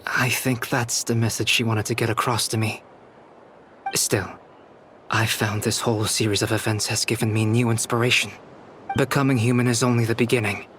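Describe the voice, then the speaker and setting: A man playing a calm, soft-spoken young scholar, an artificial human in a video game, speaking formally and reflectively. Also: mid-range voice, slight background noise, natural voice. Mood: calm, thoughtful. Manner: measured, reflective, formal diction. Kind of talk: scripted video game dialogue. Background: background music.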